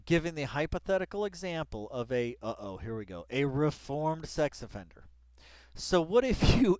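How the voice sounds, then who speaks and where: Animated man commentator, rambling and exclaiming. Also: on the high side, dry recording. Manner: rambling, exclaiming, self-interrupting asides. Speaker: online commentator. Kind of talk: casual commentary while reading an article aloud.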